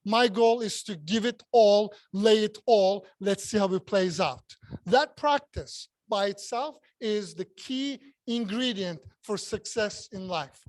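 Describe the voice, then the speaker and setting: A man's voice: high-pitched, slow, no room sound. A man who speaks English as a second language, giving a jokey, self-mocking speech at a club meeting and repeating words for emphasis. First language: not English.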